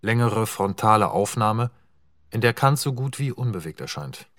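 A man reads out stage directions for a radio play in a plain, even manner. The recording is dry and close, with no room around him. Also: on the low side, moderate pace, dry recording. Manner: matter-of-fact, even reading. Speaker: narrator reading stage directions. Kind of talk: scripted narration.

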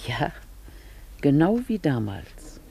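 A woman's voice: deep voice, sing-song, takes it slowly, creaky, exaggerated voice. An actress playing a genteel upper-class married lady in a German radio drama, composed and formal in manner, holding on to an elegant past. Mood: kindly, reminiscing.

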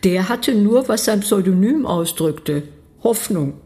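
A woman's voice: medium pitch, expressive, slow pace, mellow. A woman in a radio play, speaking in a skeptical, probing way.

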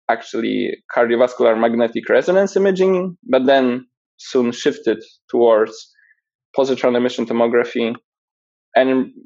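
A man from Poland, a guest researcher explaining his imaging work in a measured, technical way with frequent fillers. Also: mid-range voice, creaky, darkish tone, no room sound, highs cut off.

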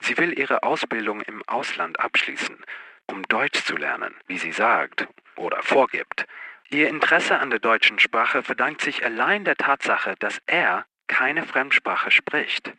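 A male dossier narrator in a radio drama, with a very bright, forceful voice. His delivery is crisp and scripted, recorded close and dry. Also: mid-range voice, expressive, measured pace, no room sound, heavily acted. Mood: crisp, factual.

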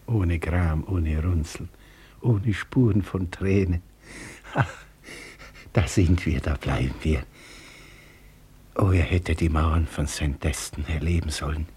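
Casting: tender, bewildered old man, low voice, slow wondering delivery, vintage radio drama. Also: a little mellow, husky, full character voice. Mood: dazed wonder. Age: older. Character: bewildered, tender old father waking from a nightmare. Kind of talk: 1950s German radio play acting.

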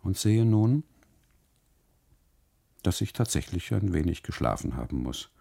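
A man's voice: sits low, big pitch swings, unhurried, gravelly, dark tone, slightly rough. A man performing a first-person literary monologue for radio, with theatrical, emphatic phrasing.

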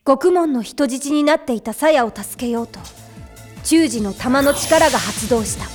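A woman voicing a defiant, hot-headed teenage boy hero in an anime drama, with brash, rough boyish speech. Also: high voice, expressive, a little slow, music in the background. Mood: solemn narrating. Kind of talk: anime voice acting.

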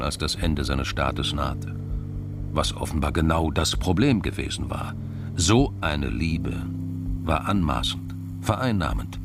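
A man narrating a scripted crime audio drama in a measured, literary storytelling manner. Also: extremely low pitch. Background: music.

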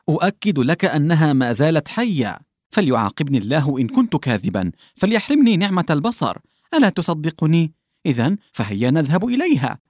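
Tactless old official stumbling over his words, played by a man; raised, lively pitch. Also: dry recording, studio quality, exaggerated voice. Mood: exasperated. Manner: fumbling, backtracking, stammering with "ah". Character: bumbling old male official, coughing, tactless.

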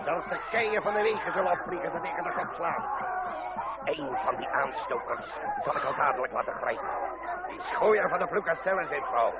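An actor playing a blustering, hot-tempered revolutionary leader in a Dutch radio drama, forceful and declamatory. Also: male voice, fairly high pitch, lively intonation, moderate pace, close to an everyday voice. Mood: furious. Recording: tinny noisy radio recording, loud crowd.

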